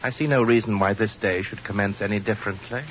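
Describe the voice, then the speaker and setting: Actor as a haughty, low-voiced aristocrat, old radio. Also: male voice, fairly even intonation, quick pace, lightly acted. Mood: haughty disdain. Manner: pompous, disdainful, drawling insults. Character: haughty, insulting English aristocrat, fussy and contemptuous. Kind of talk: 1940s radio acting. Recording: muffled, hissy vintage radio recording.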